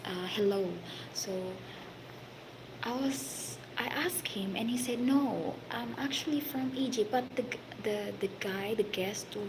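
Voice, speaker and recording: A woman from the Philippines with a Filipino accent, telling a story about her work in a halting, casual way, full of fillers and sometimes dropping to a whisper. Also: mid-range voice. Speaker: hospitality worker telling a story. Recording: noisy background.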